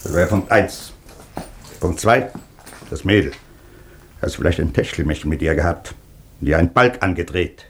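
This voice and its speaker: Older colonel, gruff, commanding, curt, lowish voice, radio drama, faint background noise. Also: male voice, lively intonation, moderate pace, pauses often. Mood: stern, commanding. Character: gruff, domineering older male army colonel. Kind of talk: German radio drama acting.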